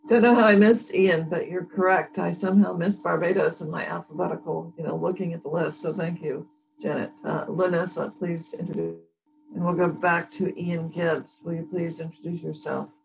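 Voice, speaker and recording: Gravelly-voiced woman hosting a video call. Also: on the low side, steady tone, moderate pace, faint music behind, rough recording. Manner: friendly, thanks each speaker. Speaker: workshop host.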